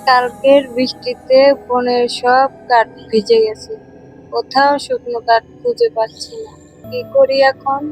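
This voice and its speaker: Innocent young woodcutter girl for cartoon, voiced by a woman: high-pitched, courteous, plaintive, music underneath. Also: sing-song, a little slow, vocal fry, exaggerated voice, low-quality recording. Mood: worried, puzzled. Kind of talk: Bengali cartoon voice acting.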